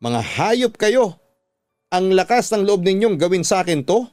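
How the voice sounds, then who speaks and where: Lalaking gumaganap na palabang asawang babaeng pinagtaksilan, mataas ang tono. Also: male voice, high-pitched, lively intonation, measured pace, a little mellow, dry recording, clean studio sound, pitched above the performer's own voice. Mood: furious, defiant. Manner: pleading and accusing, emphatic. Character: anguished, defiant betrayed wife, adult woman. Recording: music bed under the voice.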